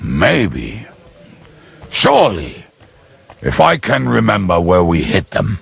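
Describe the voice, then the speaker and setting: Game casting: a cheeky, boozy schemer, very raspy and creaky, rambling with wild swings. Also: male voice, low voice, huge pitch swings, slow, fairly bright tone, full character voice. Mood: wry, rambling. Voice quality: gravelly, husky. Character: boozy middle-aged ruffian, cheeky schemer. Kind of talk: video game character acting.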